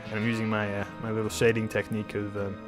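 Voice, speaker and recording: A man's voice: lowish voice, completely flat delivery, fast, music in the background. A man hosting his art channel in casual talk over a speed drawing, a bit nasal and under the weather, rambling with many restarts. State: slightly sick.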